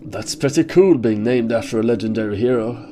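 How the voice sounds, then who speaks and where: A man's voice: medium pitch, brisk, warm tone, slight background noise, lightly acted. A man playing a wounded young skyfolk creature in a fantasy audio drama, speaking in a dry, resigned, polite way. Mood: curious, wry.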